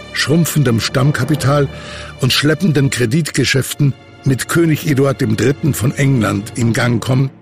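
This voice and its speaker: A man narrating an audio drama from a script in a measured, grave, literary manner. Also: mid-range voice, vocal fry.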